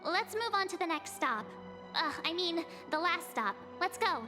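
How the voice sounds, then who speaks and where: A woman voicing a perky, easily flustered teenage girl adventurer in a video game, chatty and expressive. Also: extremely high pitch, unhurried, exaggerated voice. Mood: chatty, wry. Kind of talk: acted video game dialogue. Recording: reverberant, with music behind.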